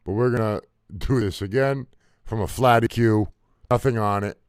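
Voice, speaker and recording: A man with a deep voice, talking loosely through a music mixing tutorial with fillers and casual swearing. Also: normal speed, clear, resonant tone, no echo, clean studio sound. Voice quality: deep voice, muffled by a faulty mic input. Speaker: music producer teaching mixing. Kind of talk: casual screen-recorded tutorial. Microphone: desktop mic into recording software.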